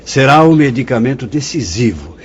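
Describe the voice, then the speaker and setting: An actor playing a kindly, solemn spirit mentor in a radio drama, speaking in a measured, paternal, preaching way. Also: male voice, medium pitch, big pitch swings, husky, dull audio. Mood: solemn, instructive.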